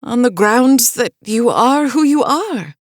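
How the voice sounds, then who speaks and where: A woman voicing a loyal, skeptical scholar's assistant in a video game, with formal, deliberate and theatrical speech. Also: fairly high pitch, expressive, no room sound, clean studio sound. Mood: fond, amused. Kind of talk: dramatic video game voice acting.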